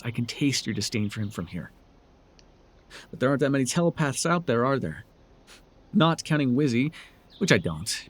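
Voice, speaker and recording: A man's voice: mid-range voice, breathy edge. A man playing a sardonic, telepathic supervillain in a dramatic audio roleplay, with a theatrical, taunting way of talking. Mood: mocking, smug. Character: sardonic male telepathic supervillain, menacing yet curious. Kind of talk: dramatic ASMR roleplay acting.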